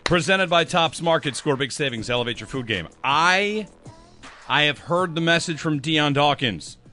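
A man hosting sports talk radio, chatting and joking in an energetic way from a studio. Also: on the high side, moderate pace, faint music behind. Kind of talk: casual chat.

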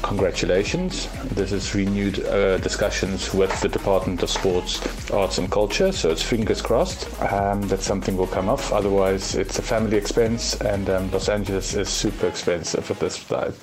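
A man, a proud father speaking earnestly on a radio interview, pausing often with fillers. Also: low voice, steady tone, music in the background, low-quality recording. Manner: earnest, hesitant with many fillers. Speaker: guest's father.

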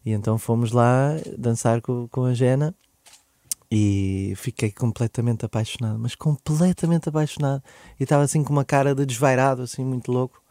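A man in his thirties with a European Portuguese accent, a podcast guest telling a personal story in casual, lively talk that laughs, rushes ahead, then trails off softly. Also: medium pitch, no echo.

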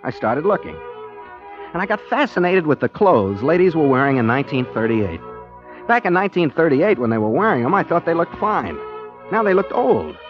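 An actor playing an earnest, daydreaming rookie private detective, boyish and wryly self-deprecating, in 1940s radio style. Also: male voice, on the high side, sing-song, fairly quick. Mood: wry, musing. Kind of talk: 1940s radio acting with first-person narration. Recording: dull vintage 1940s radio, music behind.

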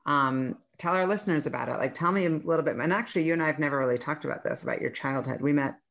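A woman in her forties hosts a casual podcast interview with a notably low voice. She is friendly and chatty, laughing easily between her questions. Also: fast, vocal fry, fairly bright tone. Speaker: podcast host.

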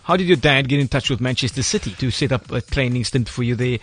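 A South African man hosts a sports radio show with a medium-pitched voice. He presents football results and puts questions to a guest in the studio, with some music behind him. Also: fast, fairly bright tone.

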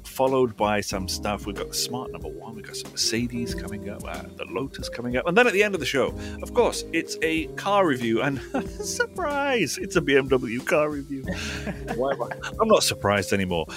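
A man's voice: mid-range voice, big pitch swings, moderate pace. A man hosting a radio car show, bantering jokily and mocking himself. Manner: jokey, self-mocking banter. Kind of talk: casual radio chat. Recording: music bed behind.

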